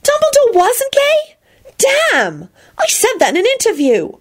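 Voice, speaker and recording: Woman doing a blustering old man, shrilly high. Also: huge pitch swings, a little slow, heavily acted. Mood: surprised. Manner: bellowing, indignant, blustery. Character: blustering, pompous older man playing wizard headmaster.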